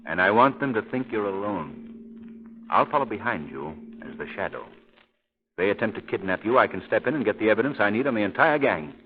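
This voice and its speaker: A man with an American accent acting a calm, shrewd gentleman sleuth in 1930s radio drama style. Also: on the low side, brisk. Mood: grave, intent. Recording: muffled old 1930s radio recording.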